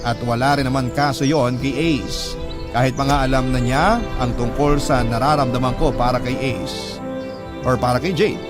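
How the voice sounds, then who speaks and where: A man's voice: mid-range voice, natural voice. A man acting a sincere, sentimental friend narrating a personal story in a radio drama. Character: sincere, sentimental friend recounting an old love. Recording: loud music over the voice. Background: loud music.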